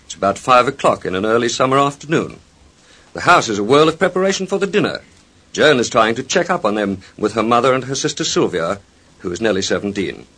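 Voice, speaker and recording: A man's voice: mid-range voice, animated, fast, a bit bright, husky. A man narrating a vintage radio soap opera as its announcer, with a formal, sentimental and dramatic delivery. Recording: vintage radio transcription recording with music. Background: music.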